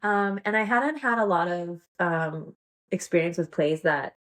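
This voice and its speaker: A woman's voice: on the low side, measured pace, a bit bright. Giggly woman in her thirties. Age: 30s. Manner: giggly, rambling, lots of fillers. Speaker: guest. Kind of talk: casual interview chat.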